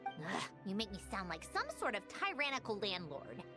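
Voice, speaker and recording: A woman's voice: mid-range voice, very animated, normal speed, loud music behind the voice, natural voice. A woman playing a dry, long-suffering but generous researcher in a video game, with a wry delivery. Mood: wry, indulgent. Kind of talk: video game character dialogue.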